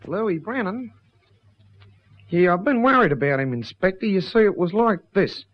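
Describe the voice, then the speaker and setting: A man's voice: high-pitched, lively intonation, moderate pace. An actor playing a good-natured Australian country man with a colloquial, confiding manner in a radio drama. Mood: chatty, concerned. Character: rambling, good-natured Australian bush local. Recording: dull lo-fi radio recording.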